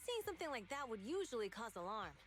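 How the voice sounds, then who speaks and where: A woman's voice: fairly high pitch, big pitch swings, fast talker, soft background music. A woman voicing a brash, fearless young fighter in a video game, emphatic and confident. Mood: pondering.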